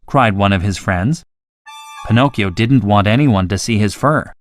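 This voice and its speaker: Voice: sits low, moderate pace. A narrator reading a scripted children's story.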